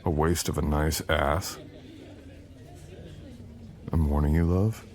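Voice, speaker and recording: A man playing a menacing, possessive supernatural boyfriend in a romantic ASMR roleplay, speaking in an intimate, brooding and often breathy way. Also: fairly even intonation, a little mellow. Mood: scheming, dry. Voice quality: deep, gravelly, often breathy and whispered. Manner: slow, brooding, teasing intimate delivery.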